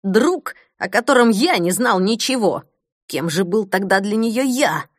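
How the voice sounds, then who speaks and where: A woman's voice: mid-range voice, expressive, measured pace, no echo, clean studio sound. A woman voicing a wounded, jealous young man in an audiobook, earnest and hurt. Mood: bitter. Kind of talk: audiobook character acting.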